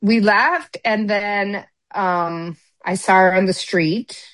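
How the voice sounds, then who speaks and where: A woman's voice: medium pitch, slow pace, a bit bright, no room sound. A middle-aged woman speaking bluntly and emphatically in a candid podcast chat, often restarting her sentences.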